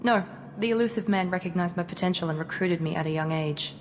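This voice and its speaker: A woman's voice: on the low side, fast talker, natural voice. An actress playing a proud, guarded female operative in a video game, clipped, formal and assertive. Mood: cool, guarded. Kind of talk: video game dialogue acting. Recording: noisy game audio with ambience.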